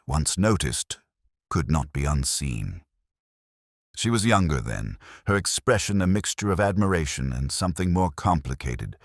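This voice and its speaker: A man narrating a literary detective story in a measured, formal, melancholy manner. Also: a bass voice, dry recording, studio quality. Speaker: first-person detective narrator of an audio mystery.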